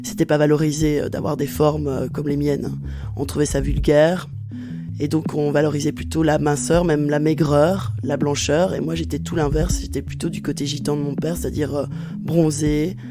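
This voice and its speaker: A woman's voice: sits low, flat delivery, moderate pace, over music. A woman in her forties, a sociology professor, giving candid and reflective personal testimony in an interview, sometimes restarting her phrases. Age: 40s.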